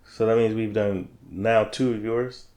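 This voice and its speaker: A man's voice: on the low side, fairly even intonation, unhurried, darkish tone. A man co-hosting a casual chat about games, laid-back and blunt, swearing now and then. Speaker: podcast co-host.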